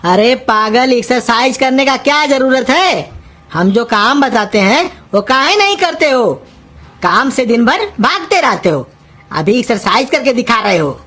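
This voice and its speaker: Cranky old cartoon uncle, played by a woman: high-pitched, exasperated scolding. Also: very animated, a little slow, fairly bright tone, soft background music, full character voice. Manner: gruff, insulting, exasperated scolding. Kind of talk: cartoon comedy dialogue in rustic Hindi.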